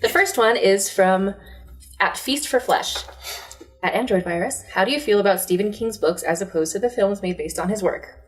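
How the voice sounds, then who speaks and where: A woman's voice: mid-range voice, moderate pace, soft background music. A woman co-hosting a movie show, chatting casually and jokingly in short bantering remarks.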